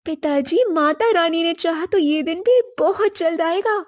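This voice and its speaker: A woman's voice: extremely high pitch, a little slow. A woman playing a kind, earnest young daughter in a children's moral story, speaking emphatically. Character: kind, earnest young daughter running a poor roadside eatery.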